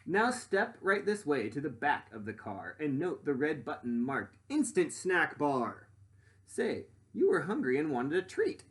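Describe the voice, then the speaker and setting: A man reading as an excited boy inventor, very animated. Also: a little high, heavily acted. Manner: excited, showman-like presenting with swooping emphasis. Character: eager, boastful young boy inventor dreaming up a car.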